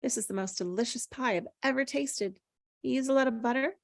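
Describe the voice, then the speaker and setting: A woman's voice: fairly high pitch, a little fast, vocal fry, no room sound, clean studio sound. A woman acting a sweet, playful young woman in love, earnest and teasing, at a table read.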